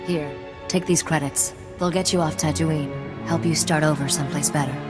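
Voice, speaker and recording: A woman's voice: sits low, steady tone, normal speed, in a large hall, music in the background, close to an everyday voice. A woman playing a guarded, confident young smuggler's associate in a video game, controlled and matter-of-fact. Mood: regretful.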